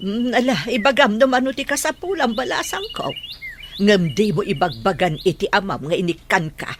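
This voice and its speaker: A woman's voice: mid-range voice, normal speed, a little hiss or hum, close to an everyday voice. A woman with an Ilocano accent playing a concerned, matter-of-fact aunt in a radio drama.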